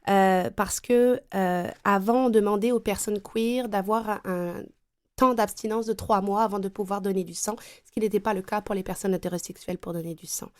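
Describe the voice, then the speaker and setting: A woman's voice: mid-range voice, steady tone, moderate pace. Woman from France explaining on a panel. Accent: French (France). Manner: explanatory, hesitant fillers. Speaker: consultant and trainer, panel guest. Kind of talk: round table discussion.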